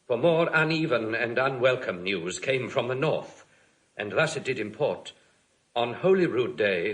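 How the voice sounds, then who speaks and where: A man's voice: medium pitch, fairly bright tone, close to an everyday voice, low-quality recording. An actor playing a dutiful nobleman in classical Shakespearean audio drama, delivering news in a grave, formal, declamatory manner. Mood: earnest, formal. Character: dutiful English nobleman reporting grim war news.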